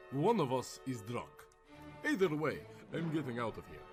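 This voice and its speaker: Storybook army lieutenant, acted by a man: uneasy, mannered, wryly sceptical, with highly animated delivery. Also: moderate pace, faint music behind. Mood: alarmed, wry. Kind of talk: storybook character voice.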